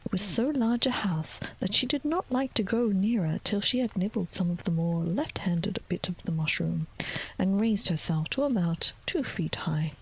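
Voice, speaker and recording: A woman's voice: medium pitch, moderate pace, slightly rough, poor audio. Woman whispering a bedtime story. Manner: soft unvoiced whisper, gentle and even. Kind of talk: whispered ASMR story reading.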